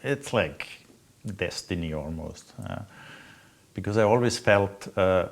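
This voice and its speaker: A middle-aged man from Austria with an Austrian accent, a native German speaker, telling his life story off the cuff in a halting, reflective way. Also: sits low, animated, vocal fry. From Austria, Salzburg province. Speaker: interviewee recounting own life. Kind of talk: unscripted personal storytelling.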